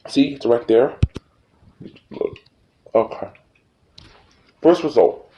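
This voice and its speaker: A man hosting a casual tutorial, speaking informally and haltingly, often repeating himself. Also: medium pitch, muffled recording. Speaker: tutorial host. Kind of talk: casual screen-recording tutorial. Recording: noisy recording with clicks.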